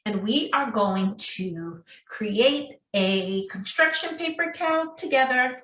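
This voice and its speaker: Animated high-pitched woman teaching preschoolers. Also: slow pace. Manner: sing-song, addresses young children with questions. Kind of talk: children's read-aloud and craft lesson. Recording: roomy, echoey indoor sound.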